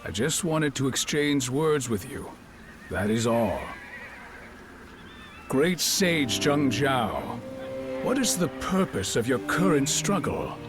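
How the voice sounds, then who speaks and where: An actor playing a shrewd, courteous middle-aged warlord of ancient China in a video game cutscene, speaking in a measured, genial, probing way. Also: male voice, mid-range voice, sing-song. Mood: calm, probing. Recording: loud music behind the voice.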